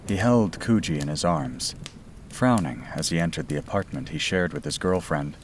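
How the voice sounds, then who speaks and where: A man's voice: sits low, expressive, moderate pace. A man reading a scripted bedtime story as its narrator. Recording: clear background noise and ambience.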